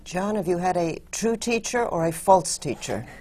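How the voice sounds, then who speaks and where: A woman's voice: fairly low pitch, animated, normal speed, darkish tone. A woman moderating a theater panel discussion and introducing the guests one by one.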